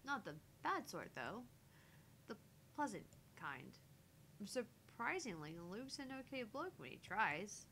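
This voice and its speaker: A woman's voice: medium pitch, very animated, takes it slowly, creaky, sharp tone, a little hiss or hum, poor audio. A woman streamer reading a visual novel aloud in first-person narration with casual asides.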